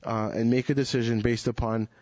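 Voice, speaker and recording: A man's voice: medium pitch, level tone, fairly quick, a little mellow, dry recording, lo-fi sound. A man speaking earnestly and reflectively in a group Bible study discussion, often restarting his phrases.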